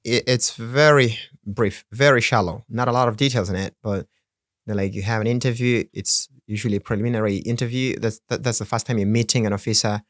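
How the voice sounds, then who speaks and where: Guest: Swahili-speaking man from the Congo, earnest, quick to laugh, dry studio sound. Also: on the low side, fairly even intonation, no echo. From Democratic Republic of the Congo. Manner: earnest, laughs often, restarts words. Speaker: podcast guest. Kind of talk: casual interview chat.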